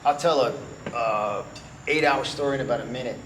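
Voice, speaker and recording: A man's voice: medium pitch, a little fast, fairly bright tone. A middle-aged man with a New York accent giving a promotional interview, speaking emphatically and repeating phrases for effect. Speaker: guest, event organizer and motivational speaker. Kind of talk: promotional interview talk with personal storytelling. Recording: roomy echo, clear background noise.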